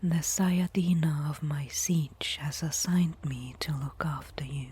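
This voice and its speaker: A woman acts out a disdainful desert tribeswoman, a reluctant nurse in an ASMR roleplay. Her whisper is extremely husky and breathy, low and very unhurried. She phrases things formally, with stern pride. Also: fairly even intonation, warm tone, heavily acted. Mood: grudging, disdainful. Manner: slow, measured, formal phrasing.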